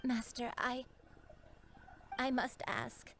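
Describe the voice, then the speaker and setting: Devoted young-sounding game disciple, high and slow, earnest, hesitant, music behind. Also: female voice, fairly even intonation, a bit bright. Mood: hesitant, questioning. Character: humble, earnest female disciple, devoted and grateful. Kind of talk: scripted game character dialogue.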